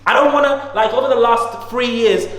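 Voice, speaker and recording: A man in his thirties with a high voice talks in a casual, emphatic way, full of slang and motivational push. He sounds like he is in an echoey room, and his voice trails off in a long reverb. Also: brisk, a bit bright. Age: 30s. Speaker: guest, entrepreneur. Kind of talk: casual conversation.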